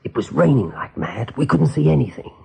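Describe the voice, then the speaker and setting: Weary, gravelly rabbit sergeant. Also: male voice, mid-range voice, big pitch swings, a little fast, warm tone, heavily acted. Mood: weary, shaken. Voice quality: gravelly, husky. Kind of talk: radio play acting.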